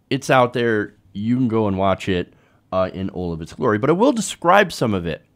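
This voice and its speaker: A man hosting a political commentary show, talking informally to viewers in a conversational way with rhetorical tag questions. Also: mid-range voice.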